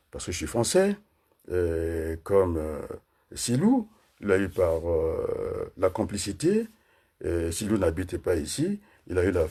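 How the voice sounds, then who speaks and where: A man's voice: deep voice, a little mellow, breathy edge. A man from Congo giving a combative political monologue, hesitating often with fillers. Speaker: political commentator.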